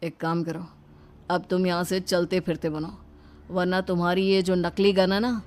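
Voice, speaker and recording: A woman's voice: fairly low pitch, level tone, moderate pace, darkish tone, lightly acted. A woman voicing a swaggering young daughter-in-law who talks like a film hero in a cartoon. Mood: coolly threatening. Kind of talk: cartoon story voice acting.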